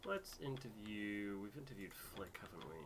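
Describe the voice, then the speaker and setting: A man's voice: lowish voice, animated, moderate pace, noisy background. A man co-hosting a game playthrough, chatting casually and reading bits of the game text aloud. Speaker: gaming video co-host.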